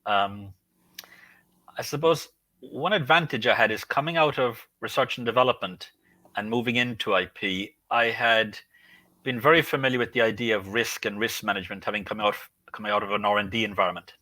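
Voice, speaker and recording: A man from the UK, a guest speaking from his home, explaining things in a measured way. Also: on the low side, pushed and bright, dry recording, low-quality recording. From United Kingdom. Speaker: guest, IP consultant. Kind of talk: interview answers.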